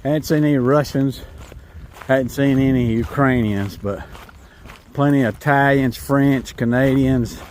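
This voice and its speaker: A man's voice: mid-range voice, fairly even intonation, measured pace, darkish tone, a little hiss or hum. Folksy Southern man vlogging outdoors. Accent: Southern American. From North Carolina, USA. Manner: rambling, folksy, self-amused. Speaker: hiker vlogger. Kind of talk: casual walking vlog. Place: outdoors on a rural trail.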